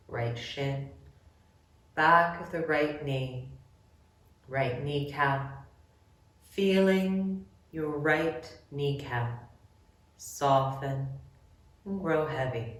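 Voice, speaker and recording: A woman leading a guided relaxation meditation, giving gentle, measured instructions with long pauses between phrases. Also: rumbling low. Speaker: yoga nidra guide. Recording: very echoey room, distant mic.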